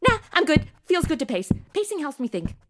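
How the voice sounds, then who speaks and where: A woman's voice: high voice, big pitch swings, a little fast, soft background music. A woman playing a chatty, cheeky, jittery modern young woman in a comedy audio drama, slangy and over-caffeinated.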